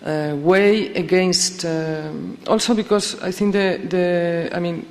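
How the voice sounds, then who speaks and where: A woman from Spain, speaking slowly in English at a conference and searching for words with many fillers and restarts. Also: fairly low pitch, fairly even intonation. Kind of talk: halting conference discussion. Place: conference hall. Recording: long reverb of a hall.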